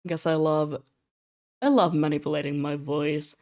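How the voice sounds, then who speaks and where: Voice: mid-range voice, flat delivery, moderate pace, darkish tone. Tired young streamer. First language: Russian. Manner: rambling, self-mocking, laughs often. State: tired, slightly slurred speech. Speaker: streamer drawing commissions. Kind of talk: casual live-stream chat.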